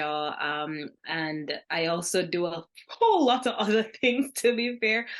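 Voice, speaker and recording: A woman's voice: on the low side, a bit bright. A woman with a Jamaican accent, a guest on an interview show. She answers in a candid, storytelling way, walking the listener through her journey. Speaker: guest, business founder.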